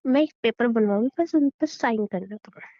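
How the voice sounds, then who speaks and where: A rather high-pitched young wife, played by a woman, argues stubbornly in a relationship audio drama. She is defiant and demanding, with a taunting edge. The sound is close and dry, a little dulled. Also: fairly quick, no room sound, highs cut off, natural voice. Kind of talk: acted Hindi relationship audio drama, conversational.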